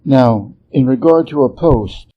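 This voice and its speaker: A man's voice: mid-range voice, muffled recording, close to an everyday voice. Man as a stately, stern heavenly emperor. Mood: cool, formal. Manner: formal, measured, dry. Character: stately, stern, aloof male celestial emperor. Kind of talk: storybook character voice.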